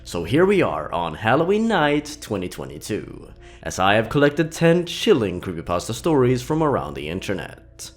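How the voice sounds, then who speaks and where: A man hosting and narrating horror stories in a scripted, deliberate and dramatic storytelling delivery. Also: lowish voice, huge pitch swings, soft background music.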